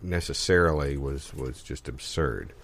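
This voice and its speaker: A man's voice: very deep voice, unhurried, fairly bright tone, full character voice. A man in improvised audio comedy playing a dry, dismissive debunker, fussy and stammering in his delivery. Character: dry, dismissive man correcting a foolish researcher.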